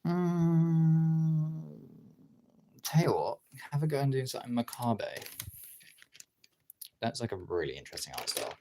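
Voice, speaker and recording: A man's voice: mid-range voice, animated, a little slow, long pauses, dark tone, a little hiss or hum. A man talking in an informal, rambling way, thinking aloud as he gives a casual art demonstration as a streamer. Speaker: streamer, artist. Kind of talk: casual chat while demonstrating drawing.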